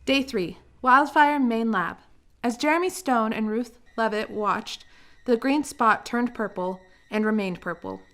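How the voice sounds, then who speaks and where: A woman's voice: fairly high pitch, measured pace, a bit bright. A woman reading scripted narration as the narrator of a radio drama.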